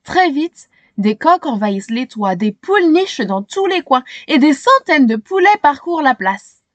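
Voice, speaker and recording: A woman reading a children's storybook aloud in an expressive, animated way, as if to young listeners. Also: high-pitched, normal speed, no echo. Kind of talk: expressive scripted storybook narration.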